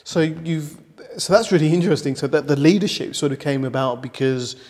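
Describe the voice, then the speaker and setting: A man's voice: fairly high pitch, brisk, a little mellow, in a large hall. A man hosting a coaching interview, asking encouraging, reflective questions and often rephrasing mid-sentence. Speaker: interview host, director of training. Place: studio set with leather sofas.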